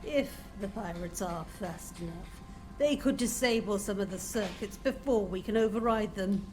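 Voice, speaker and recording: An actress playing a skeptical, bitter starship captain with a blunt, sardonic manner in an audio comic dub. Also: female voice, mid-range voice, normal speed, a little mellow, soft background music, lightly acted.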